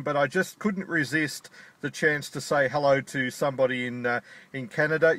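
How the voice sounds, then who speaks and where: An Australian man speaking into a radio microphone while sitting in his car at a park. His voice sits at an ordinary middle pitch, and his talk is friendly and delighted. He keeps to radio procedure, spelling out call signs and ending with "over". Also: fairly bright tone. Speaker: amateur radio operator. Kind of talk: ham radio contact.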